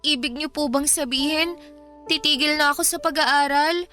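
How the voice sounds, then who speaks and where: A woman's voice: sits high, steady tone, moderate pace, sharp tone, soft background music. A woman playing a timid, earnest girl of thirteen in a Filipino radio drama, polite and pleading. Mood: pleading, dismayed. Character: timid, earnest orphaned girl of thirteen, respectful but questioning.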